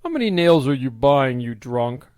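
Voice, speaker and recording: A stern middle-aged blacksmith and father, gruff and commanding, as a male narrator reads him. Plain, mid-pitched voice, recorded close and dry. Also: very animated, normal speed, darkish tone, no echo, close to an everyday voice.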